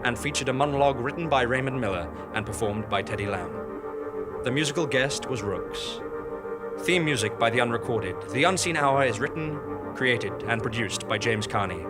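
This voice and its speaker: A man delivering scripted, theatrical narration in a measured, portentous way. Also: mid-range voice, lively intonation. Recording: music bed behind live stage narration.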